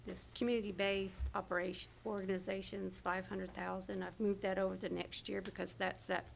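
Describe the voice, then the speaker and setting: A hoarse woman presenting health department budget figures at a county committee meeting, with many fillers and self-corrections. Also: mid-range voice, flat delivery, brisk, a little hiss or hum, rough recording. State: hoarse, losing her voice. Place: county government meeting room.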